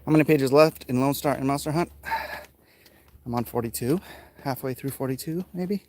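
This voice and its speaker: A man's voice: medium pitch, moderate pace, dark tone. Winded American guy rambling on a walk. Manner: offhand, self-deprecating, talking while exerting himself. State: winded from walking uphill. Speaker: vlogger, artist. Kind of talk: casual rambling walk-and-talk to viewers. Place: outdoors, walking hilly residential streets. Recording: noisy outdoor walking recording with clicks.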